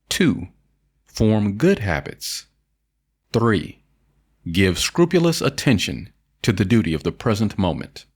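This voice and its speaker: A man reads in the manner of an audiobook narrator, with a fairly deep voice. His intonation rises and falls widely. The delivery is solemn and deliberate, with emphatic phrasing and lingering phrase endings. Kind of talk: audiobook reading of an old self-help essay.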